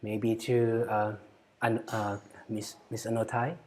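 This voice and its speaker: A man moderating a video call, passing the turn to each speaker with brief, relaxed handoffs. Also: on the low side, takes it slowly.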